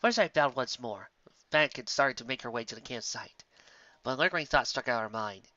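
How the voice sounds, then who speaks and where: Storybook narrator: expressive scripted reading, bright tone, close dry room, somewhat dull audio. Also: medium pitch, a little fast, dry recording. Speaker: narrator, fan fiction reader.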